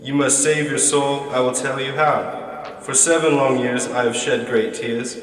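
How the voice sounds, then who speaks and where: A man acts out a sorrowful male ghost in chains for a radio drama. He speaks very slowly and solemnly, sounding distant in a long, echoing reverb. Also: mid-range voice, steady tone, faint music behind. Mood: urgent warning. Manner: slow, solemn, drawn-out delivery. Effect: heavy ghostly reverb. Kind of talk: stage-like radio drama acting.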